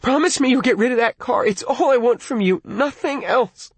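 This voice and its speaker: A frightened teenage girl begs down a telephone line, her high, wavering voice always close to tears, as a male audiobook narrator puts her on. The delivery is husky and dry, with wide swings in pitch. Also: very animated, unhurried, no room sound, full character voice. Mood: pleading, tearful. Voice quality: wavering, tearful.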